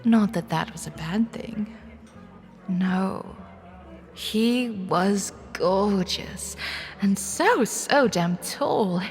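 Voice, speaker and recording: Anxious, sardonic young heroine played by a woman, mid-pitched, wry and halting first-person drama narration, music underneath. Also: animated. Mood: smitten.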